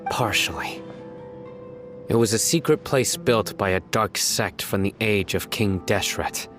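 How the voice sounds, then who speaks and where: A solemn male investigator in a video game. He speaks in a low voice, measured and formal, with a grave authority. Music is clearly heard underneath. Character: stern, solemn young male investigator of few frills.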